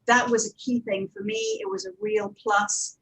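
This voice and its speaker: A woman presents on a panel with a fairly high voice. She talks informally and practically, like a working producer giving advice to colleagues. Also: big pitch swings, moderate pace, gravelly, a bit bright, breathy edge, poor audio. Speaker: panel speaker, audio drama producer.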